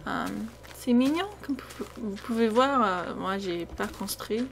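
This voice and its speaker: A woman's voice: mid-range voice, expressive, a little slow. A young American woman, a native English speaker with an American accent, chatting hesitantly and casually over gameplay, her voice often trailing off. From United States. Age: young adult. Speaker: gaming video creator. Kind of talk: casual off-the-cuff gameplay commentary. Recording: noisy home recording, game sound behind. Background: video game sounds.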